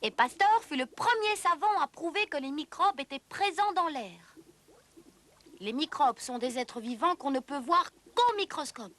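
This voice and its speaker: A woman's voice: sits high, lively intonation, measured pace, a bit bright. A woman giving scripted, didactic storytelling narration for an educational history cartoon.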